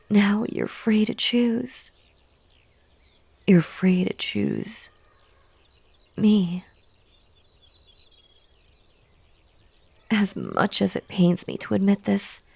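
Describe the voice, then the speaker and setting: Casting: female actor as a possessive yandere bunny girl for audio roleplay; very unhurried, creaky, coaxing and hypnotic. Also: mid-range voice, very animated, a little mellow, no echo, heavily acted. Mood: pleading, wounded. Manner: slow, breathy, coaxing, slipping into whispers. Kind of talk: intimate audio roleplay acting.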